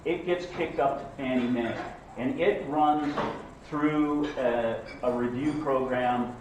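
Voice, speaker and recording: A middle-aged man giving a loud, conversational, self-deprecating talk as an appraiser at a conference breakfast. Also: medium pitch, slow pace, dull audio, noisy background. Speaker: real estate appraiser speaker. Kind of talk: conference presentation. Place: hotel conference room, indoors. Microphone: far camera across the room. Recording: echoey room from far camera.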